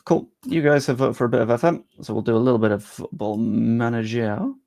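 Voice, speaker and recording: A man's voice: medium pitch, fairly even intonation, brisk, gravelly, mellow, dry recording, clean studio sound. A young man live-streaming, chatting casually with his viewers and thinking aloud as he works on screen.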